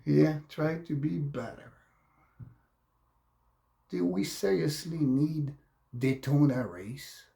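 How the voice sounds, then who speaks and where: An upset man with a French Canadian accent and halting English, a native French speaker, delivering an unscripted, profane political rant as a vlogger. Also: on the high side, creaky, warm tone, reverberant.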